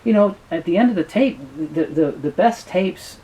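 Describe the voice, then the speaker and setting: A man being interviewed, talking casually and explaining things in a halting way with frequent restarts. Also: sits high, lively intonation, mellow, poor audio. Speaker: business founder being interviewed.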